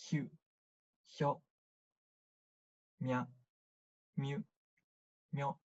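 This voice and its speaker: Slow-paced language teacher, muffled lo-fi recording. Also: mid-range voice, husky. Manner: deliberate, instructive, with checking questions. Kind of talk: language lesson, explaining and drilling syllables.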